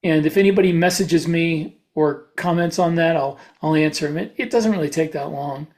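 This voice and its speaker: High-pitched man in casual chat. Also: normal speed, a little mellow. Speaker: podcast co-host and author.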